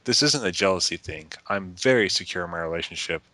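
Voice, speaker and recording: A man's voice: deep voice, lively intonation, quick pace, bright, forceful tone. A man reading a letter aloud in an earnest, emphatic manner. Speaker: reader of a letter to friends.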